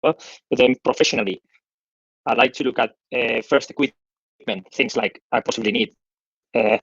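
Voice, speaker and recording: A man with a Spanish accent, a guest on a live stream, speaking haltingly while his audio sounds distorted and distant. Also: mid-range voice, steady tone, gravelly, a bit bright, slightly rough, no room sound, highs cut off. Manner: halting, searching for words. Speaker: guest digital marketer.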